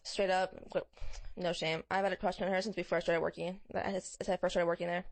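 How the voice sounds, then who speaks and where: A woman co-hosting a podcast in casual chat, with an animated, giggly and candid manner. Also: on the low side, quick pace. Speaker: podcast co-host.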